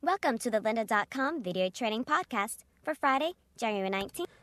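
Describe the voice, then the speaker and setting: A woman's scripted podcast introduction, processed with an upward pitch shift into a chipmunk-like voice. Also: lively intonation, fairly quick, a bit bright, no echo. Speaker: podcast announcer.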